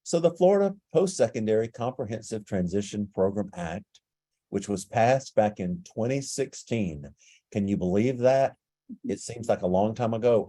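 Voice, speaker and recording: A man with a stuffy, nasal voice presenting a webinar and explaining things in a steady way over a video call. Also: mid-range voice, dry recording.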